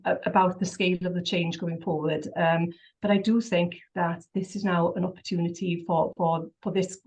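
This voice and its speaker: A woman's voice: on the low side, a little mellow, dull audio. A woman on a council answering questions at a meeting off the cuff, hesitant, with many fillers and repeated words. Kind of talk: unscripted reply at a council meeting.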